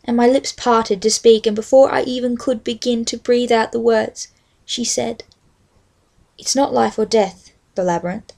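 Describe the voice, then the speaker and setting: Young woman, Australian accent, strong vocal fry, halting delivery, amateur vlogger. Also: on the high side, fairly even intonation, mellow. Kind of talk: casual rambling chat with a read-aloud book passage.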